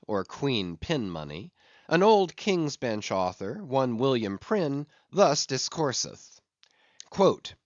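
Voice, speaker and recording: A man reading a literary audiobook expressively, with deliberate, theatrical phrasing of ornate prose. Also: mid-range voice, dry recording, dull audio. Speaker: audiobook reader.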